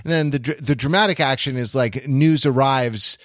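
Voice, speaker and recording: A man hosting a podcast in casual analytical discussion, halting and repeating phrases as he thinks. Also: medium pitch, a bit bright, no room sound, studio quality. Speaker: podcast host.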